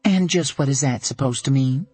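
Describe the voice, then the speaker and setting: A man's voice: medium pitch, normal speed, darkish tone, breathy edge, no room sound, faint music behind, voice pushed up, lightly acted. A man voicing an uneasy, guarded woman who answers tersely and defensively. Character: anxious, guarded adult woman, flustered by romance.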